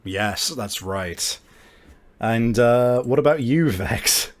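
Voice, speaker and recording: A man's voice: mid-range voice, animated, slow pace. A man hosting and narrating a tabletop game as game master, chatty and casual, often hesitating and rephrasing. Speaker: game master and show host.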